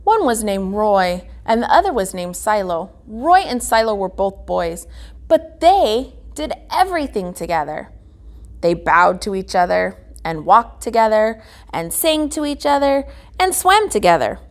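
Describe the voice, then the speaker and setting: A woman's voice: medium pitch, sing-song, measured pace. Woman reading a children's storybook aloud. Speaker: guest reader.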